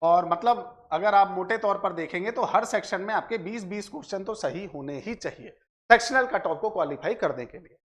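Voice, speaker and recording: A man's voice: high-pitched, normal speed. A man presents exam analysis like a coach, addressing viewers directly with frequent filler phrases and check tags. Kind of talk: explanatory exam analysis for students.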